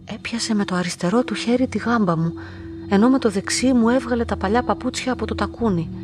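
A woman playing a lonely, submissive young narrator in a literary radio drama, speaking in a measured, introspective way. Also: fairly high pitch, lightly acted. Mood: tense, absorbed. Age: young adult. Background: music.

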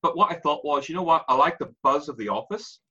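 A man in his forties with an Irish accent, a guest telling his story in an animated way and laughing through it. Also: a little high, quick pace, breathy edge, highs cut off. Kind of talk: candid interview storytelling.

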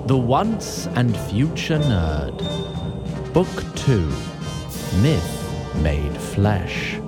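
A man announcing titles and credits for an audio drama in a dramatic style. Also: medium pitch. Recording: loud music under the voice.

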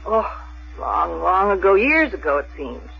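A woman's voice: on the low side, big pitch swings, measured pace, creaky, slightly rough, soft background music, heavily acted. An actress playing a plain, middle-aged small-town woman, hesitant and wistful as she reminisces in a 1970s radio drama. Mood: nostalgic. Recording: dull vintage 1970s radio recording.

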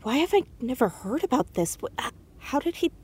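Casting: stubborn teen girl sleuth, higher voice with very animated pitch, naturalistic audio drama delivery. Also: brisk, slightly rough, full character voice. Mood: hushed curiosity. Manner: stammers when cornered, insistent. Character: headstrong, inquisitive teenage girl, defensive amateur sleuth.

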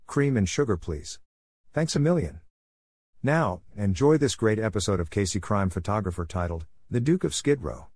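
A man's voice: lowish voice, sing-song, normal speed, a little mellow, slightly rough. A man hosting a classic radio series, reading a folksy scripted introduction. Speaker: online host introducing old radio episodes.

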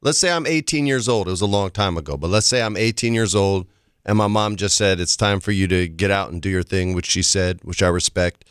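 A man's voice: low-pitched, a little fast, fairly bright tone, clear, resonant tone, no echo, clean studio sound. A man co-hosting a talk show who asks playful questions and builds them around hypotheticals. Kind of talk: casual talk show chat.

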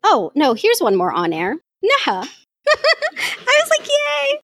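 A woman's voice: extremely high pitch, huge pitch swings, slow, fairly bright tone, dry recording, clean studio sound. A woman life coach and podcast host talking candidly and reflectively about her path, answering questions in a live audio chat.

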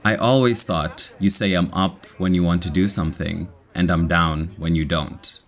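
Deep-voiced Kenyan man reading slowly. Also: steady tone. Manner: slow, clear, measured reading. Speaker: storyteller. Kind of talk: scripted personal story for learners.

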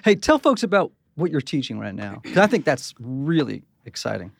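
Gravelly-voiced male podcast host. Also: mid-range voice, sing-song, normal speed, no room sound. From Connecticut. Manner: jokey, teasing, enthusiastic. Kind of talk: hosting a panel chat. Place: studio with mics.

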